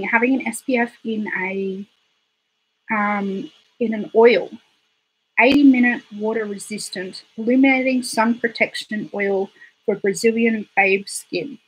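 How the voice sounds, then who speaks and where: A woman hosting a casual beauty livestream, hoarse from being sick, chatty and rambling with giggly asides. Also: mid-range voice, fairly bright tone, rough recording. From Australia. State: hoarse, recovering from illness. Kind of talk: casual livestream chat reading viewer comments. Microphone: desktop microphone.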